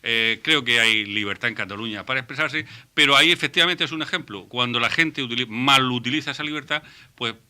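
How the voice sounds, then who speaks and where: A man from Murcia, a panelist on a radio debate show, who talks in a halting way and often restarts his words. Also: mid-range voice, bright, forceful tone, smooth. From Murcia, Spain. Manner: halting, repeats and restarts words while reasoning.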